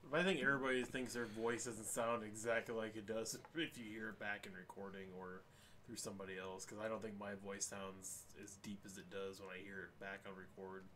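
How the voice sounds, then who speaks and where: A man co-hosting a podcast, blunt and foul-mouthed in crude banter. His mid-pitched voice has a bright edge. A faint hiss sits under the recording. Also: little pitch movement, brisk. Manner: crude, blunt, swears freely. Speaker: podcast co-host.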